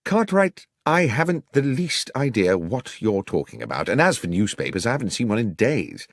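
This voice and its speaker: A man with a British accent voicing a loyal, worried Victorian gentleman doctor in an audiobook, polite and earnest. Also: mid-range voice, very animated, normal speed, dry recording, studio quality, pitched above the performer's own voice. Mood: surprised.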